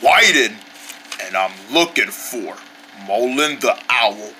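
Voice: mid-range voice, sing-song, moderate pace, pushed and bright. A performer voicing a cool, confrontational challenger owl in a playful skit, matter-of-fact and subdued. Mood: flat, serious. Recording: clear background noise, ambient sound.

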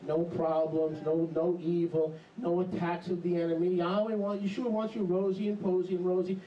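A middle-aged man preaching an animated, emphatic sermon into a handheld mic in a small congregation hall. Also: high voice, normal speed, highs cut off. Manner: animated, emphatic, jumps into mocking voices. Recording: echoey hall, camera far off.

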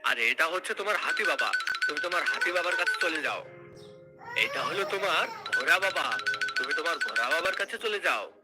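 A man's voice: on the high side, expressive, fairly quick, over loud music, natural voice. A man voicing a helpful, reassuring rescuer in a children's cartoon.

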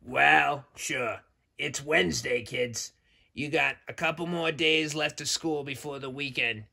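A man voicing a cocky, blunt talking shark puppet who addresses children in a gravelly, tense voice. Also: fairly high pitch, fairly even intonation, a little slow, bright, forceful tone, exaggerated voice. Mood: encouraging.

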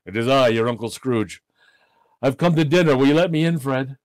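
A man's voice: medium pitch, moderate pace, a little mellow, dry recording, pitched above the performer's own voice, close to an everyday voice. A man voicing an old reformed miser from a storybook, teasing with mock sternness, then bursting out in hearty, generous exclamations. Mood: eager, warm.

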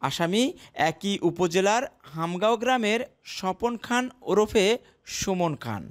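A man narrating a television news report in a measured way, with sentences that fall at the end. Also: high voice. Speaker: field reporter.

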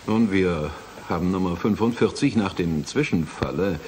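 A man's voice: low-pitched, moderate pace, darkish tone, slight background noise, lightly acted. An actor playing a formal, guarded male institute president in a radio play, explaining carefully and hesitantly. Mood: guarded, explanatory. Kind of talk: German radio play acting.

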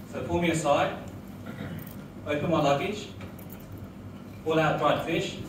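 A young man doing a stand-up comedy set in a small restaurant bar, delivering deadpan one-liners and laughing at his own lines. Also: on the high side, very noisy. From Australia. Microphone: handheld mic, filmed from far back. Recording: echoey room from far off, loud crowd.

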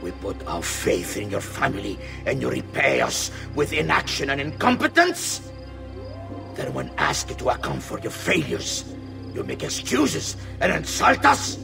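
A menacing conspiracy leader from a video game, with a gravelly voice that swings dramatically in pitch. He is imperious and theatrical, heard at some distance over music. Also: wildly swooping pitch, a little slow, full character voice. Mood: angry. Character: commanding, contemptuous male villain, hooded conspiracy leader.

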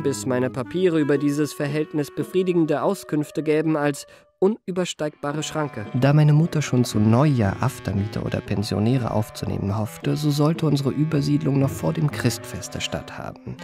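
An urbane gentleman memoirist narrates his own story in a radio play. His delivery is elegant and formal, with polished irony, and music plays clearly behind him. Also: mid-range voice. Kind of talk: scripted first-person radio play narration.

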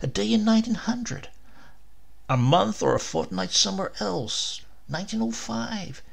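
A man voicing a greying old husband, dreamy and excitable, who enthuses wistfully. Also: sits high, normal speed, vocal fry, voice pushed up, exaggerated voice. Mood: dreamy excitement. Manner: enthusing, wistful, hesitant when caught.

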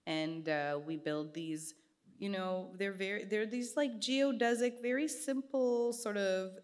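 A woman in her thirties, an artist guest in casual onstage conversation, telling stories in an animated way and acting out the voices of people she quotes. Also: medium pitch, a little slow. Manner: animated storytelling, gestures a lot, acts out quoted voices. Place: small gallery event space, indoors. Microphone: stand mic.

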